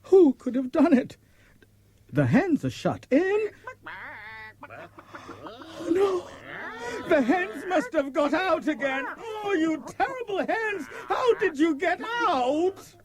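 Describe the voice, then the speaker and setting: An actor playing an exasperated, indignant older farmer in a children's audiobook, very expressive. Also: male voice, extremely high pitch, takes it slowly, pauses often, a crowd in the background, heavily acted. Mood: dismayed. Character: exasperated older male farmer with a vegetable garden. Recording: cassette audiobook with music behind.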